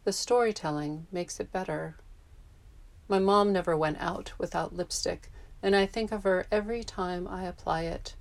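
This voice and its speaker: A woman in her fifties, born in Japan and raised in the US, reading a personal essay aloud in a measured, reflective way. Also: fairly low pitch, fairly even intonation.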